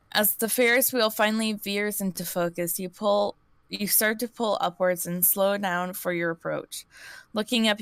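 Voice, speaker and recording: A woman reads an interactive story aloud on a live stream. She has a smooth, clean voice, recorded dry and close. She stumbles now and then and restarts the word she misread. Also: medium pitch, fairly bright tone, dry recording.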